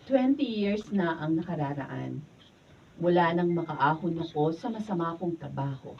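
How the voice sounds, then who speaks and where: A woman's voice: sits low, animated, moderate pace, gravelly. A woman acting in a Filipino radio drama as an emotional wayward daughter, with a Filipino accent and melodramatic delivery. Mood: calm, reflective. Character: Myra, anguished wayward daughter, later repentant and at peace. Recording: distant mic, dull noisy room recording.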